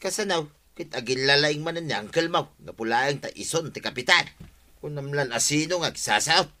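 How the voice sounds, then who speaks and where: An actor with an Ilocano accent playing a defensive, sheepish village husband in a radio comedy drama. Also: male voice, a little high, animated, a little fast, pushed and bright. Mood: resigned.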